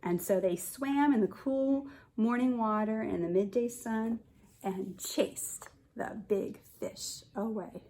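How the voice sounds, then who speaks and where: A woman in her forties reading a picture book aloud to young children as a kindergarten teacher, expressive and explaining as she goes. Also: on the high side, slow pace, slight background noise, rough recording. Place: living room at home, indoors.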